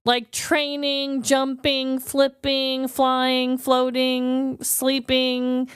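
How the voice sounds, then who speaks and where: A woman co-hosting a podcast in casual comedic chat, expressive and emphatic, piling up lists and swearing casually. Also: high voice, very slow, smooth, dry recording, studio quality. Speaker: podcast co-host.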